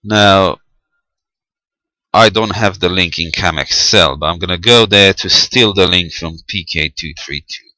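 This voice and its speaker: Slow deep guy doing an unscripted tech walkthrough, kinda hesitant, thinking out loud, really dry sound. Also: dry recording, low-quality recording. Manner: hesitant, thinking aloud while typing. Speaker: amateur radio tutorial presenter. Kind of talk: unscripted screen demonstration, step-by-step.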